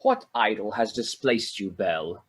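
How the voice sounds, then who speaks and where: A man's voice: fairly low pitch, wildly swooping pitch, measured pace, fairly bright tone, slightly rough, full character voice. An actor playing a proud, defensive young Victorian man in a theatrical radio drama.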